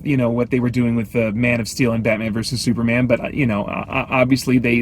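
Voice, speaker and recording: A man giving a casual, off-the-cuff film review, thinking aloud in a halting, measured way. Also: medium pitch, slight background noise. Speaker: movie review host.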